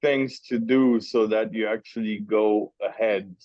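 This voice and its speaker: A man from Switzerland talks casually over lunch in a fairly low voice, circling back and restarting as he tells a story. The sound is dull and muffled, with no room echo. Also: fairly even intonation, a little slow, darkish tone. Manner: rambling, with restarts and long asides. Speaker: interview guest, cigar maker.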